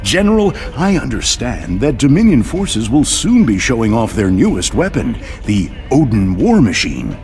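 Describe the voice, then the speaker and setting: An actor playing a vain, pompous television news anchor with theatrical broadcast delivery in a video game. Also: male voice, medium pitch, very animated, moderate pace, a little mellow, faint music behind. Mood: smug. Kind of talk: video game parody newscast.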